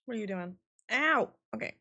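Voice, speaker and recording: A woman's voice: a little high, animated, normal speed, sharp tone. A woman streaming a game, chatting casually in a rambling way and swearing now and then. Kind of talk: casual livestream chat.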